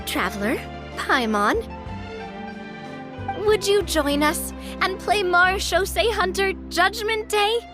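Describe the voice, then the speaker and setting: A woman's voice: extremely high pitch, animated, slow pace, pauses often, full character voice. A woman voicing a playful, enthusiastic young woman in a video game, with a theatrical, exclamatory manner. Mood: playfully dramatic. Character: bubbly, warm young woman, playful enthusiastic club leader. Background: game music.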